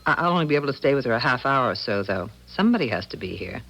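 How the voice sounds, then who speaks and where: A suspicious older small-town wife in a 1970s radio drama. She speaks with a tart, nagging folksiness, and her pitch moves up and down freely. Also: very animated, very fast, fairly bright tone, exaggerated voice, poor audio.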